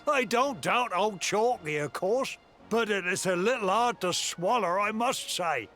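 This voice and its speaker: A man's voice: high-pitched, lively intonation, measured pace, pushed and bright, faint music behind, heavily acted. A man playing a chatty, jovial, slightly scheming country village elder in a video game, with a folksy, theatrical manner. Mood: jovial, sly. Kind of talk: scripted video game voice acting.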